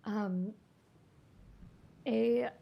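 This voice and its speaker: A woman narrating a tabletop game as game master, describing scenes in a halting way with many fillers. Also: medium pitch, fairly even intonation, gravelly, lo-fi sound.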